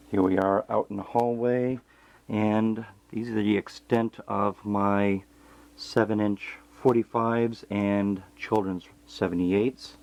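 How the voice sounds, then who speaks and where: A man with a fairly low voice narrates a casual home tour. He presents as a collector showing things off, dropping wry, self-deprecating remarks as he goes. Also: creaky, darkish tone. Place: indoors at home.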